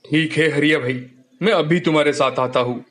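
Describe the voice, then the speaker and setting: A man's voice: on the high side, normal speed, fairly bright tone, close to an everyday voice. A man voicing a modest, helpful village carpenter in a storybook cartoon.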